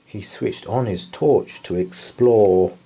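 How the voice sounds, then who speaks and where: A man's voice: on the low side, slow pace, creaky, dark tone, breathy edge. A man reading a children's bedtime story aloud in rhythmic verse, with a theatrical, dramatic delivery. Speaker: storybook reader.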